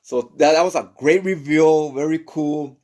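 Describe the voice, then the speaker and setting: A man's voice: on the high side, moderate pace, darkish tone. A man narrating a casual recap in an informal, colloquial way, often checking in with "right?". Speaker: comic book recap narrator. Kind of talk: casual story recap commentary.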